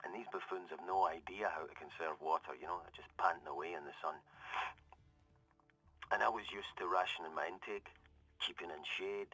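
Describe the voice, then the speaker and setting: An actor playing a hard-bitten Scottish ex-military survivor in a game audio log, talking in a wry, conversational, confessional way. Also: male voice, sits low, steady tone, a little fast, pushed and bright, dry recording, soft background music, exaggerated voice, low-quality recording. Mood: dry, knowing. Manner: conversational, hesitant, darkly wry, trailing off. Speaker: security corporal.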